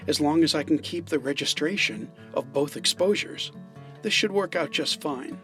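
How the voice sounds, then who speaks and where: A man's voice: a little high, normal speed, fairly bright tone, faint music behind. A man giving an explainer narration as a filmmaker and presenter.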